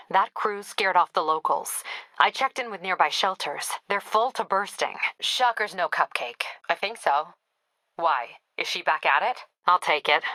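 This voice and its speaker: Forceful, no-nonsense police captain. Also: female voice, lowish voice, no echo. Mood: urgent, businesslike. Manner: clipped, businesslike, dryly wry. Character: no-nonsense female police captain, brisk, dry, weary ally. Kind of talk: video game voice acting, radio dispatch briefings.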